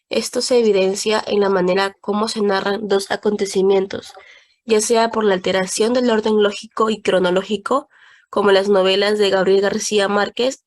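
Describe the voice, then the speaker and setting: A young woman with a Peruvian Spanish accent, a student reading a passage aloud in class. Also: mid-range voice.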